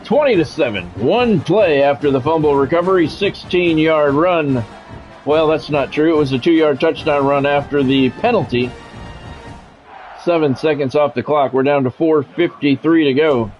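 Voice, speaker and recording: Higher-pitched American man calling football play-by-play. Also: moderate pace, darkish tone. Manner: short clipped announcer phrases. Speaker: sports play-by-play commentator. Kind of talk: live play-by-play commentary of a football video game. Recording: dull lo-fi sound, music behind.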